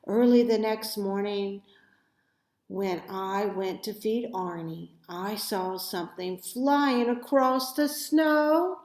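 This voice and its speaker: Slow, echoey woman storybook reader. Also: medium pitch, a little mellow. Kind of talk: children's story read-aloud. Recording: roomy, echoey sound.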